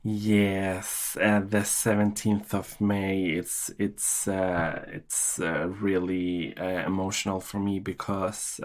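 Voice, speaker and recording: A middle-aged man from Chile, a podcast guest telling his life story in a halting way, with many restarts and fillers. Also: sits low, little pitch movement. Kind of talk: personal storytelling interview.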